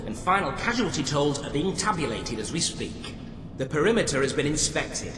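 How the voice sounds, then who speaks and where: An actor playing a dutiful, eager, slightly awkward prison guard in a video game, deferential and earnest. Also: male voice, a little high. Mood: brisk, dutiful. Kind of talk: video game character acting. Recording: echoey hall with noisy ambience.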